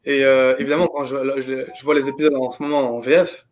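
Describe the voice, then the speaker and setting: Fast-talking man chatting on a call. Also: medium pitch, level tone, slight background noise. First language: French. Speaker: animation professional. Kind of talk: casual panel chat.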